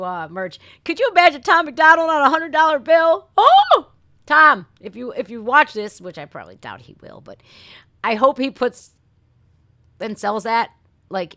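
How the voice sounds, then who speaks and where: A woman's voice: mid-range voice, bright, forceful tone, dry recording. Female American livestream host. Off-the-cuff ranting, blunt folksy delivery with swearing, mid-range voice, very dry sound. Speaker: reaction video host. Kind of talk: casual livestream rant and chat.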